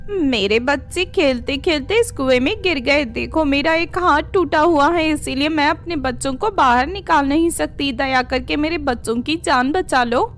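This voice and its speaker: A woman puts on a cunning witch mother from a cartoon horror tale. Her voice is fairly high and her delivery is dramatic and emphatic. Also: normal speed, few pauses, fairly bright tone, soft background music. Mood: feigned distress. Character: deceitful witch mother posing as a pleading, sorrowful woman.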